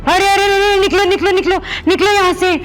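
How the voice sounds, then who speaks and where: Nasty greedy stepmom, really high voice, taunting and sharp tongued, audio drama, bit of music behind. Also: female voice, fairly even intonation, fairly quick. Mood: scornful. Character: cruel, greedy stepmother, contemptuous and domineering. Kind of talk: melodramatic Hindi audio drama acting.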